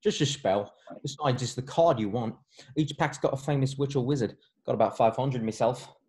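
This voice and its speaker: A man's voice: medium pitch, normal speed, a little mellow, close to an everyday voice. A man playing a frank, chatty young wizard boy in a table read, with a casual delivery. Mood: matter-of-fact. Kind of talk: online table read of a screenplay.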